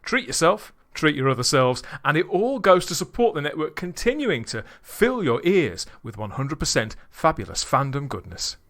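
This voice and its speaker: A man hosting a podcast discussion, animated and steering questions to his co-hosts. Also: on the high side, moderate pace, hardly any pauses. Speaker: podcast host.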